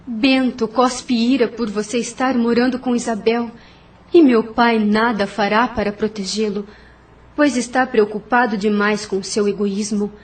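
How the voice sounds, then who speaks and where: A woman in an audio novel playing the gentle, caring spirit of a dead wife and mother. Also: fairly high pitch, recorded from a distance. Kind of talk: audio novel dramatic acting.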